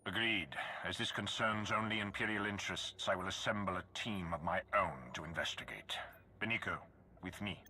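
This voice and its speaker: Cold imposing warlord, very deep gravelly voice, sharp edge, clipped commands. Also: male voice, normal speed, a little hiss or hum, exaggerated voice, poor audio. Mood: stern. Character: stern, imposing male Sith lord commander.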